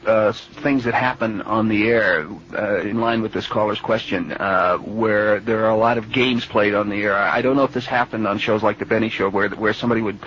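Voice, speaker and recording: A man's voice: lowish voice, steady tone, fairly quick, few pauses, a bit bright. A man hosting a live call-in radio interview in a conversational style. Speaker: radio talk show host. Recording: dull, noisy old radio broadcast tape.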